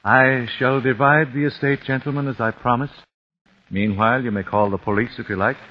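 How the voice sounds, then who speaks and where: An actor playing a calculating, vengeful father in a 1940s radio drama, measured and menacing. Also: mid-range voice, fairly even intonation, a little hiss or hum, lightly acted. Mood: cold. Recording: dull 1940s radio broadcast.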